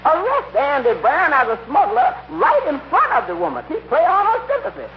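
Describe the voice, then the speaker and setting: A slick, crooked lawyer in a vintage radio comedy. His voice is extremely high and swoops around in folksy, stammering patter. The old recording is muffled. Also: wildly swooping pitch, a little fast, a little hiss or hum, heavily acted. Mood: scheming. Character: slick, shady fast-talking male lawyer, cheerfully crooked.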